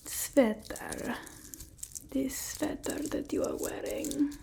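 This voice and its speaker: Woman as a slow, whispering, haughty vampire mistress. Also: sits high, gravelly, dark tone, exaggerated voice. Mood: disdainful, teasing. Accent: put-on Eastern European. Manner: slow, imperious, teasing, hesitant murmuring. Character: haughty, fussy, doting female vampire mistress. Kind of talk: whispered ASMR roleplay.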